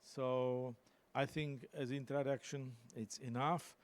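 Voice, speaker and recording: A man in a large lecture hall introducing the guest speaker into a handheld microphone, halting and searching for words with many uhs. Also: mid-range voice, little pitch movement. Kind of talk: formal introduction.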